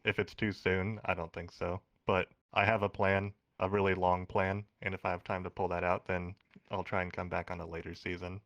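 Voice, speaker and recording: A man's voice: sits low, fairly bright tone, no echo. A man speaking casually as an eliminated contestant in an online interview, joking and rambling through his answers. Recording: dull, lo-fi mic sound.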